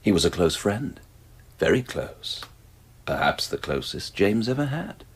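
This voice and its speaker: A polite, enigmatic dealer in old books for an audio drama. His voice is husky and swings widely in pitch. He speaks in measured, cryptic, old-fashioned phrases with a quiet menace. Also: full character voice. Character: courteous, enigmatic male antiquarian bookseller, quietly sinister.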